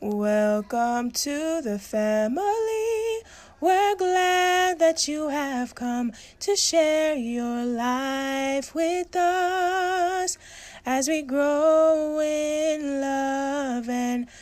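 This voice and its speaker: A woman's voice: high-pitched, extremely slow, darkish tone. A woman singing a devotional hymn to accompaniment. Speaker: singer. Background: instrumental music.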